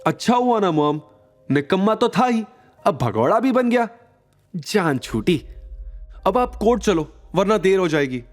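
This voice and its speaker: Male narrator putting on a young woman, raised pitch, cold, dismissive, sneering, audio drama, music behind. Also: sing-song, measured pace, long pauses. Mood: scornful.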